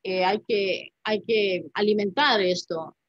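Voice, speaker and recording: A woman's voice: medium pitch, slow pace, no echo. A poet in her fifties, a woman, speaking thoughtfully on a video call from home, often ending phrases with a questioning tag. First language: Spanish. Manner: thoughtful, reflective, many "¿no?" tags. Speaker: poet and writer, guest. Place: home room with paintings. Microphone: laptop webcam mic on video call. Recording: dull dry video call audio.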